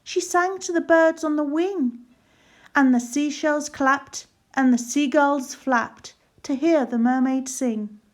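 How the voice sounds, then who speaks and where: A woman narrating a rhyming children's storybook aloud in a measured, sing-song way. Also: high voice.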